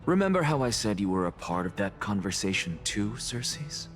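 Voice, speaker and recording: An actor playing an arrogant, theatrical professor obsessed with truth, lecturing grandly and deliberately in a video game. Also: male voice, fairly low pitch, big pitch swings, soft background music. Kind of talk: dramatic video game voice acting.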